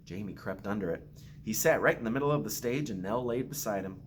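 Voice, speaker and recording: A man who is a school principal reads a picture book aloud to children with expressive, simple storytelling phrasing. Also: fairly low pitch, a little fast, a little hiss or hum. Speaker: school principal reading to pupils.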